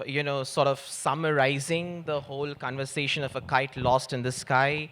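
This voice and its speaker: A man's voice: mid-range voice, little pitch movement, moderate pace, a bit bright. A man moderates a live panel in hesitant, wordy academic phrasing.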